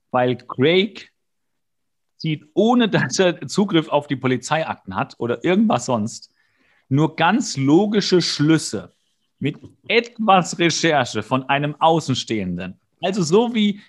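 A male podcast co-host casually recapping and bantering, with a sarcastic, mock-exasperated way of talking. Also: fairly high pitch.

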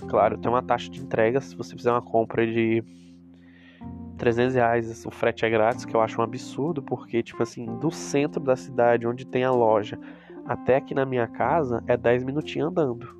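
A man's voice: mid-range voice, moderate pace. A Brazilian man talks to his viewers in a loose, rambling way, full of asides. His nose is stuffed up from a cold. Guitar music plays behind him. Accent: Brazilian Portuguese. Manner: chatty, rambling, with asides to the audience. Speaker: cosmetics reseller vlogger. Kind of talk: casual talk to viewers. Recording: music playing in the background.

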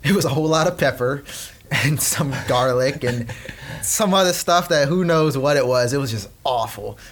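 A young man from Peru talks as a guest in a relaxed interview. He tells family stories in quick bursts, full of "uh" and restarts. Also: medium pitch. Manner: chatty storyteller, quick bursts with frequent fillers. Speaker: guest, company co-founder. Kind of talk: casual interview chat.

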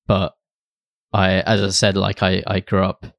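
A man's voice: low-pitched, completely flat delivery, measured pace, creaky, no echo, studio quality. A young man hosting a podcast in a casual, informal, somewhat hesitant way, often restarting his thoughts. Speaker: podcast host. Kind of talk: casual chat.

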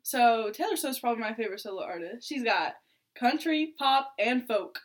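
A woman's voice: on the high side, expressive, normal speed. A seventeen-year-old girl born in Iraq, co-hosting a casual podcast and chatting with lots of fillers and giggles. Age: teens. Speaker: podcast co-host. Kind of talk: casual chat.

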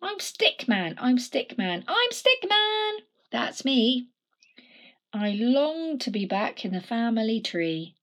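A woman's voice: a little high, big pitch swings, takes it slowly. A woman voicing a small, proud male stick figure in a storybook, with a sing-song, emphatic delivery. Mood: insistent.

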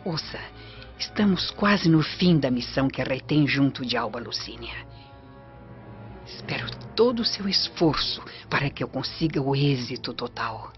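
A woman's voice: very deep voice, animated, normal speed. A woman playing an imperious, scheming noblewoman in a radio drama, with a Brazilian accent and a formal, commanding manner. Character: imperious, scheming Roman noblewoman, coldly ambitious. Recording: muffled radio drama with prominent music.

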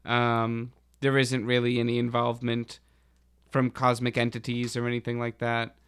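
A man chatting casually as a podcast host, with a halting, slangy delivery. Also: mid-range voice, completely flat delivery, fairly bright tone, clean tone. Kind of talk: casual chat about a TV show.

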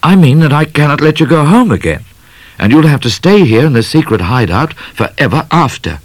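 Man playing an earnest, excitable bushranger, lively pitch. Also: fairly quick, dry recording. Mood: gallant. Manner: earnest, exclamatory. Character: bashful, gallant male bushranger, earnest and excitable.

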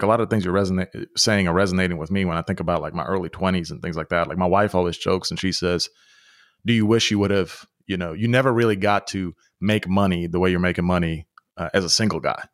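A man in his thirties hosting an interview, relaxed and conversational, with lots of filler words. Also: deep voice, dry recording, clean studio sound. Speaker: podcast host. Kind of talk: casual interview.

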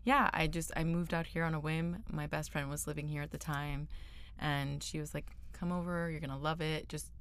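A young woman from Venezuela co-hosting a casual podcast chat, laughing often and pausing with lots of ums. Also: low-pitched, steady tone, clear, resonant tone. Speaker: podcast co-host. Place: California.

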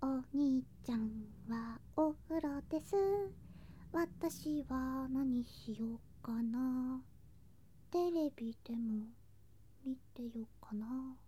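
A woman playing an affectionate, playful younger girl cousin in an intimate ASMR voice drama, speaking softly and coaxingly and slipping into whispers. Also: sits high, takes it slowly, slight background noise. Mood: playful, murmuring. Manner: soft, sweet, coaxing, drifting into whispers and singsong. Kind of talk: ASMR situation voice drama, intimate roleplay to listener.

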